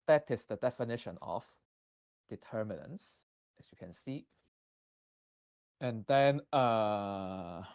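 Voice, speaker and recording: A man with a Hong Kong accent presenting a math lesson from slides, halting and full of restarts. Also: medium pitch, darkish tone, dry recording. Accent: Hong Kong English. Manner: halting, many restarts and fillers. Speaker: guest presenter.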